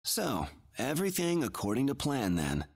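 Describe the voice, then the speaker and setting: Raspy, scheming villain. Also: male voice, mid-range voice, wildly swooping pitch, normal speed, full character voice. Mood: suspicious. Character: suspicious, scheming male villain. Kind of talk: English video game dub acting.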